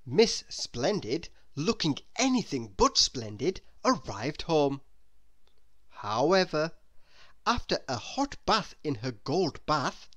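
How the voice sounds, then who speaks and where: A woman reading a children's storybook aloud, expressively and as if speaking straight to young listeners. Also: deep voice.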